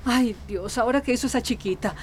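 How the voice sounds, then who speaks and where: A woman's voice: on the high side, fast talker, slight background noise, close to an everyday voice. An actress playing a flustered, strict mother who frets about appearances, with a Costa Rican accent, in a radio drama. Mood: fretful. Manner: fretful, scolding.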